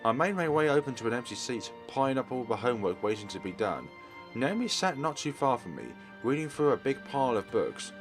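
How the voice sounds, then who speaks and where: A man's voice: medium pitch, big pitch swings, brisk, music in the background. A man reading story narration aloud from a visual novel as a let's play host.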